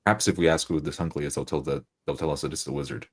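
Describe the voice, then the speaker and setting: A man playing an earnest, devout adventurer in a tabletop game, speaking politely and haltingly with a solemn air. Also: a bass voice, fairly even intonation, slightly rough. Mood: reverent. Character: earnest, devout male adventurer reading omens.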